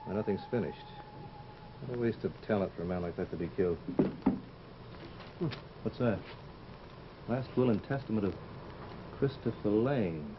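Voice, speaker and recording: An actor playing a flippant, curious young artist type with a breezy, musing manner in an old-time radio drama. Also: male voice, on the low side, lively intonation, moderate pace, long pauses, close to an everyday voice. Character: flippant, curious male artist, unbothered by danger. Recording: muffled, noisy old radio recording.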